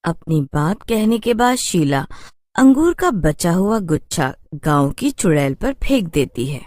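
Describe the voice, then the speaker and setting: Slow-paced woman narrating a story. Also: lowish voice, big pitch swings, a little mellow, no room sound, clean studio sound.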